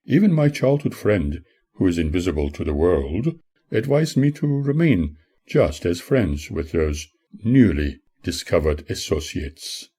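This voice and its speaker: Deep-voiced older man reading his memoir. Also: big pitch swings, measured pace, mellow, slightly rough. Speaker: author reading own memoir. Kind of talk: scripted audiobook narration.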